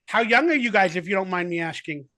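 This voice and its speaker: A man's voice: high voice, expressive, fast talker, bright, forceful tone, no echo. A man in his forties, a guest actor on a video call, talking in a rambling, enthusiastic way full of fillers. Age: 40s. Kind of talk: casual remote interview.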